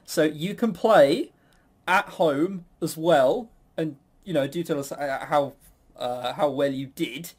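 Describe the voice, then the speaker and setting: Male podcast quizmaster, slightly high voice, chatty and rambling, self-mocking humor with casual swearing. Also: slow, husky. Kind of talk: casual banter.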